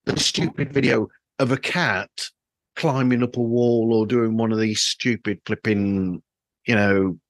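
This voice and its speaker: A man's voice: medium pitch, fairly even intonation, measured pace, no room sound, low-quality recording. A man hosting a casual podcast chat, rambling and opinionated as he circles around his points. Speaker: podcast host.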